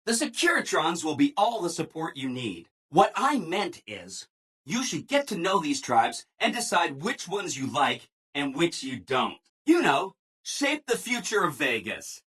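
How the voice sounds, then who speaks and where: Game robot assistant: ingratiating, relentlessly upbeat, lively swooping pitch, slightly husky edge. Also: male voice, a little slow, heavily acted. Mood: upbeat, explanatory. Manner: chipper, ingratiating, over-enthusiastic, singsong. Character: relentlessly cheerful, eager-to-please robot assistant. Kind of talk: video game character dialogue.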